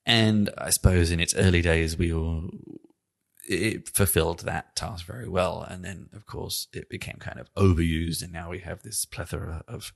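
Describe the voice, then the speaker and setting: A man's voice: low voice, fairly even intonation, normal speed, gravelly. A man hosting a podcast in a conversational, musing and wry manner, often hedging as he goes. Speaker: podcast host and interviewer.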